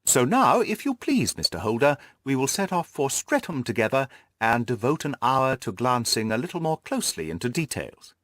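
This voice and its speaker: A composed storybook detective voiced by a man, incisive and analytical. He questions precisely and emphatically, with pitch that rises and falls a great deal. The recording is close and dry. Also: very animated, moderate pace, few pauses, fairly bright tone, no echo. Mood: emphatic, reasoning. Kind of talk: audiobook character acting.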